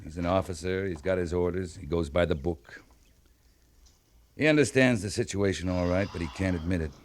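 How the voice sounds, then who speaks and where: Actor as steady cavalry captain, old radio western. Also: male voice, sits low, close to an everyday voice. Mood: grave, low-key. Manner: measured, commanding. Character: steady, decisive US Army cavalry captain in the West. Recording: noisy old radio recording.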